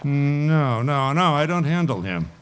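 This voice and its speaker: A man's voice: on the high side, very animated, a little slow, smooth. A man acting an evasive, deal-making Hollywood talent agent in a 1950s-style radio play, with a folksy, hesitant way of talking. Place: live radio play performance at an event.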